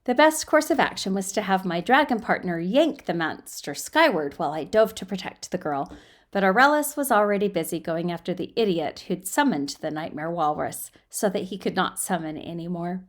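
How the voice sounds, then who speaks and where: A woman's voice: lowish voice, lively intonation, moderate pace. A woman reading and chatting as an amateur storyteller, informal and self-deprecating, recorded in a guest room on a laptop microphone. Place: quiet guest room at home. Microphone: built-in laptop microphone.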